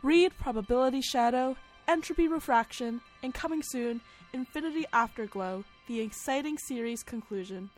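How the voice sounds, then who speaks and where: A woman's voice: high-pitched, normal speed, few pauses, soft background music, rough recording. A woman announcer giving a scripted promotional read. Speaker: advertisement announcer.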